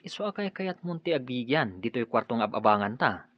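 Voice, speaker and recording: Man playing friendly Ilocano colleague, joking, medium pitch, radio drama, dry dull audio. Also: sing-song, fairly quick, dry recording. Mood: chummy. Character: friendly, joking male buddy and business colleague.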